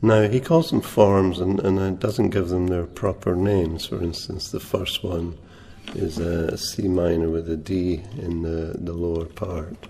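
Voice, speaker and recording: A soft-spoken man teaching a guitar lesson, unhurried, pausing often with ums and uhs. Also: sits low, steady tone. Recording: reverberant room with guitar playing.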